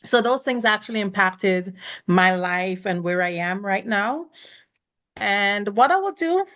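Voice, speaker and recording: A woman from the Caribbean talks as a guest on a conversation podcast. She tells her personal story earnestly and graciously, her voice at an ordinary pitch. Small laughs slip in between her thoughts. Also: expressive, unhurried, a bit bright. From Caribbean, born in Guyana, raised in Barbados.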